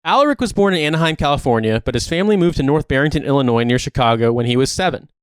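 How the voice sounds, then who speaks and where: A man's voice: on the high side, animated, rapid-fire, smooth, no room sound, clean studio sound. A man co-hosting a podcast in casual banter, talking in an emphatic, incredulous, sweary way. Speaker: podcast co-host.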